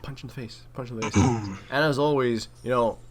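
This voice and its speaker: A man's voice: mid-range voice, moderate pace. A man giving casual, wry game commentary with some mild swearing and trash talk. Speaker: gaming video commentator and player. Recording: clearly noisy home recording.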